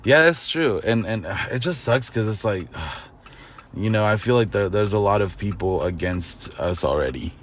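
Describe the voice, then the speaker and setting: A young man chatting casually as a content creator, halting and full of fillers, getting excitable. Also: fairly low pitch, a little hiss or hum. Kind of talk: casual podcast chat.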